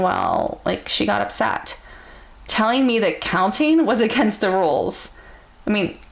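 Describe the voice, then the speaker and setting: A woman reading a story aloud in a casual, conversational way, theatrical and amused, with pauses and murmured asides. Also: mid-range voice, gravelly.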